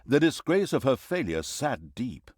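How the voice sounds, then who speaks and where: Sardonic fantasy game narrator, super swoopy dramatic delivery, very dry close sound. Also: male voice, mid-range voice, big pitch swings, measured pace, a little mellow, slightly rough, dry recording. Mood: wry, dramatic. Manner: theatrical, sardonic storytelling. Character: wry, mocking male storyteller of a dark fantasy comedy.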